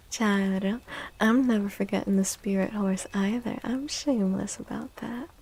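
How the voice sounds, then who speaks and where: A woman livestream host chatting in a soft, gentle ASMR style close to the mic, drifting now and then toward a breathy whisper. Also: medium pitch, a little slow. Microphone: close microphone.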